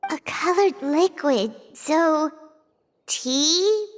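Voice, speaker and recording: A woman voicing a tiny, childlike, excitable fairy companion in a video game, with a squeaky, chirpy delivery. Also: drawn-out words, slight background noise, heavily acted. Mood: curious, pondering. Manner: exclamatory, refers to self in third person. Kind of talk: animated video game voice acting.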